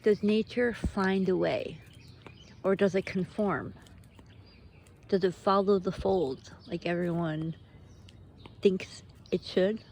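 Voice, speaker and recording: Slow, mellow woman musing aloud outdoors. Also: medium pitch, fairly even intonation, gravelly, a little hiss or hum. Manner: musing, halting, drawn-out phrases. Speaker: host of a personal walking monologue. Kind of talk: unscripted reflective monologue. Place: outdoors on a walk in nature.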